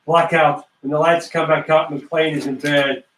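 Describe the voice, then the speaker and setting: A man's voice: on the high side, steady tone, normal speed, fairly bright tone, a little hiss or hum. A man narrating stage directions for a scripted play reading. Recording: roomy, distant microphone.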